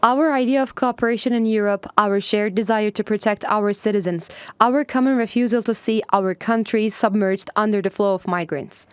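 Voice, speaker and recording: A woman politician giving a speech in a forceful, declamatory way. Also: medium pitch, moderate pace, few pauses, clean tone, no room sound.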